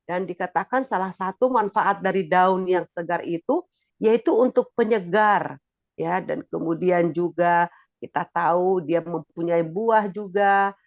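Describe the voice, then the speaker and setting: A preacher giving a sermon with a stuffy, nasal voice while recovering from illness, pitching up and getting louder as they explain a point. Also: measured pace, dry recording, lo-fi sound. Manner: rises in pitch and volume when explaining.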